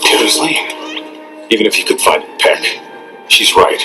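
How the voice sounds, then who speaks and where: An actor playing a gruff, cynical rebel soldier in fantasy film dialogue. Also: male voice, fairly low pitch, big pitch swings, a little slow. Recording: distant film audio under loud music.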